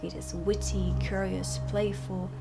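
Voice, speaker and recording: A woman playing a strict dark witch who teaches at an academy, speaking softly and deliberately in an ASMR roleplay. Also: mid-range voice, flat delivery, vocal fry, loud music behind the voice. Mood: calm, instructive. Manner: slow, deliberate, instructive. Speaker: ASMR performer. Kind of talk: soft-spoken ASMR roleplay lesson.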